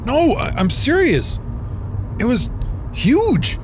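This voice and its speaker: A man voices a clueless, easygoing young guy in a podcast radio drama, rambling unsurely and leaning on "like". He drawls slowly while his pitch swings wildly high and low. Loud car noise runs behind him. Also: huge pitch swings, heavily acted. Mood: scared.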